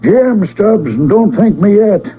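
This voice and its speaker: Gruff, high-pitched old-West rancher. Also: male voice, lively intonation, takes it slowly, slight background noise. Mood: grudging, bossy. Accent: American. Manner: gruff, drawling rustic talk. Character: gruff, suspicious father, stingy frontier rancher. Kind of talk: 1970s radio western acting. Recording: tinny, narrow old radio broadcast.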